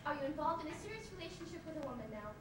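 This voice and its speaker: A young woman plays an insistent, eager bride in a stage comedy. Her voice is extremely high and swings widely. It comes from far off in a very echoey, heavily hissy old tape. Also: sing-song, very fast. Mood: brisk, insistent. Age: young adult. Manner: insistent, theatrical. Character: pushy, eager bride in her thirties hunting a groom. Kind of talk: amateur stage comedy acting. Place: theatre stage, indoors. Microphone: far camera microphone. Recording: distant, echoey, very noisy old stage videotape.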